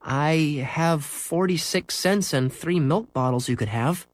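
A man's voice: mid-range voice, lively intonation, normal speed, slightly rough, no room sound. A man reading aloud voices an earnest young boy who sounds apologetic and a little defensive. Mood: hopeful.

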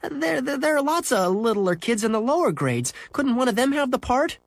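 A narrator performs a grade-school boy in a children's storybook. He is exasperated and defiant, with a high voice that jumps around as he protests and fires back. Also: very animated, normal speed, creaky, no echo, heavily acted. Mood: desperate pleading. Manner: desperate, yelling protests and retorts.

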